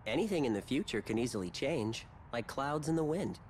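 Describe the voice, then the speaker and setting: An actor playing a philosophical, breezy adventurer in a video game cutscene. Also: male voice, mid-range voice, lively intonation, a little fast, natural voice. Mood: reflective. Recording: noticeable background noise.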